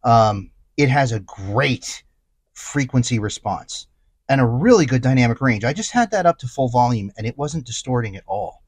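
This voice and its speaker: A man's voice: medium pitch, animated, normal speed, dry recording. A man giving a casual product review as host, stressing key words with emphatic repetition.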